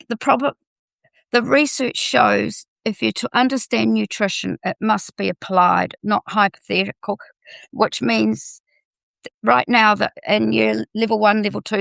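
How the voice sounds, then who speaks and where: Croaky older woman, gravelly voice. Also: mid-range voice, fairly even intonation, a bit bright, no echo, rough recording. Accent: New Zealand. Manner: earnest, halting, restarts mid-phrase. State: croaky from a cold. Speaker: health education guest. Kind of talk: live online discussion.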